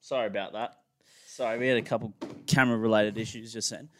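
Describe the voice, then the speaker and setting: A man's voice: fairly low pitch, fairly even intonation, fast, a bit bright, a little hiss or hum. A man, co-hosting a podcast in rambling casual chat. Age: 20s. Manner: laid-back, rambling, swears and laughs often. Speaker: podcast co-host.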